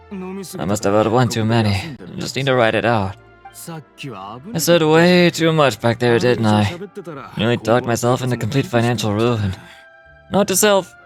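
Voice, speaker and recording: A man's voice: mid-range voice, natural voice. An actor playing a hungover, rueful man in a video game, muttering groggily to himself.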